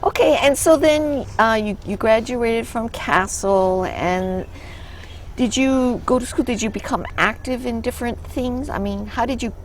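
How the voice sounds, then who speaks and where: A woman hosting an interview show, reading a measured introduction and then prompting her guest with frequent affirmations. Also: on the high side, slight background noise. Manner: warm, encouraging listener with frequent affirmations. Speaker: podcast host and interviewer.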